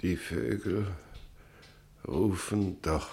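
An actor in a radio play playing a brooding, troubled clergyman, halting and solemn. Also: sits low, steady tone, a little mellow, slight background noise, full character voice. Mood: irritated.

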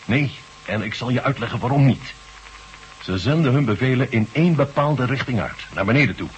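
A man playing a calm Russian marshal in a radio play, measured and explanatory. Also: mid-range voice, a little hiss or hum, lightly acted, low-quality recording. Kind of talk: 1980s radio play acting.

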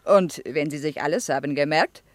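An actress playing a proud, guarded Soviet woman interpreter who speaks halting German as a native Russian speaker in a radio drama. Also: low-pitched, no room sound, lightly acted. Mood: firm, polite. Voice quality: foreign-accented, halting syntax. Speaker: interpreter and guide.

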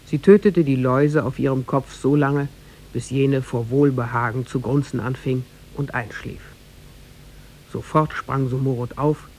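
A male narrator tells a tale in formal, literary phrasing. His pitch sits in the middle and his delivery is measured, in the manner of a 1950s radio play. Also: gravelly, darkish tone, a little hiss or hum. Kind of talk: scripted storytelling narration, 1950s German radio drama.

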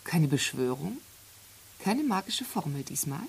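A woman's voice: deep voice, big pitch swings, a little slow, creaky, a little mellow, slightly rough, exaggerated voice, poor audio. An actress playing a dismissive, critical woman in a radio play, by turns cutting and hesitant. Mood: deliberate. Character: dismissive critic of men, hesitant yet longing. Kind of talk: radio play acting, dialogue.

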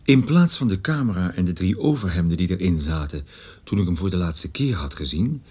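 Wry detective narrator, lively range. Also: male voice, deep voice, a little fast, slightly rough, no echo. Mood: matter-of-fact. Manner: dry, ironic, literary phrasing. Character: wry male private detective narrating his own story. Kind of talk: scripted first-person radio drama narration.

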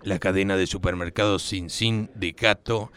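An actor playing a deadpan police officer giving formal, matter-of-fact reports in a comic crime radio play. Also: male voice, low-pitched, normal speed, smooth. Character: deadpan male police officer briefing his inspector.

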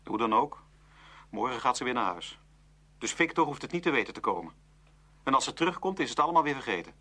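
A man acting an awkward, easily embarrassed teenage boy in a radio play, husky and hesitant. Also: mid-range voice, very animated, quick pace, bright, forceful tone. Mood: embarrassed, upset.

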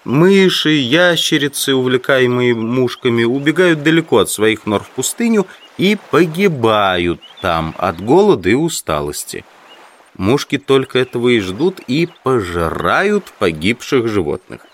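Guy reading a novel aloud, lively rise and fall in his voice, careful measured phrasing. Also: mid-range voice. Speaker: audiobook narrator. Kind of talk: scripted literary first-person narration.

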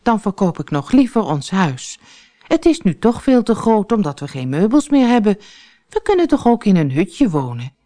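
Woman voicing a cheerful old storybook wife, very lively. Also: medium pitch, normal speed, voice pushed up, natural voice. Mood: firm, reassuring. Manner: upbeat, unbothered. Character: cheerful, optimistic old wife from a fairy tale. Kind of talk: storybook character voice.

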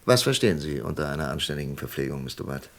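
An actor playing a patient, shrewd investigator in a German radio crime drama, questioning deliberately with thoughtful pauses. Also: male voice, very deep voice, animated. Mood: probing, intrigued. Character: patient, methodical male FBI investigator, probing and shrewd.

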